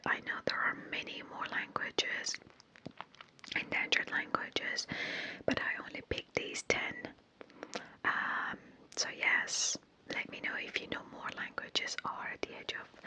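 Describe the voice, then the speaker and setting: An ASMR creator whispering slowly and softly, repeating words and hesitating over pronunciations. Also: poor audio. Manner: unsure of foreign pronunciations.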